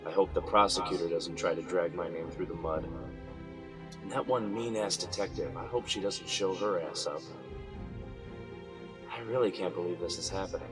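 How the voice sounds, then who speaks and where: A man plays an indignant businessman jailed for a crime he denies. His voice sits low as he frets through an audio drama monologue, with music playing clearly behind him. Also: normal speed, pauses often, natural voice. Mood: indignant, worried. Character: indignant, anxious businessman wrongly jailed for murder.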